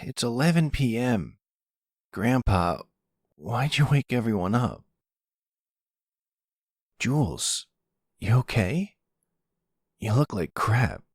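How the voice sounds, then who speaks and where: A man's voice: mid-range voice, very animated, slow pace, long pauses, husky, dry recording, studio quality, voice pushed up, heavily acted. A man playing a hot-headed, slangy young son and loyal brother, blunt and exasperated, in an audio roleplay. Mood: groggy, puzzled. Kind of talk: ASMR audio roleplay drama.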